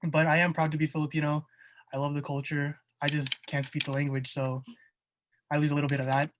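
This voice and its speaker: Young man, flat and slightly high. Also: a little fast, background noise. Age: young adult. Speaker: college student, club officer. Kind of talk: casual podcast chat.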